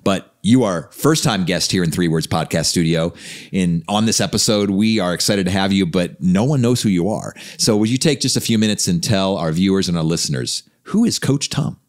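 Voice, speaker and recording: A man in his forties hosting a podcast in a small studio, talking emphatically into a boom mic. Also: a little fast. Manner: emphatic, gestures a lot while talking. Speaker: podcast host and life coach. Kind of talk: podcast conversation. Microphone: studio mic on boom arm.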